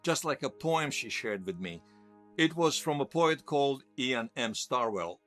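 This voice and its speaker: A man's voice: mid-range voice, lively intonation, a little slow, fairly bright tone, faint music behind. An actor playing a nostalgic, wryly amused man recalling his past conversationally in an interview-style audio drama. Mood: wistful, fond. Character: nostalgic man recalling lost love in a future world.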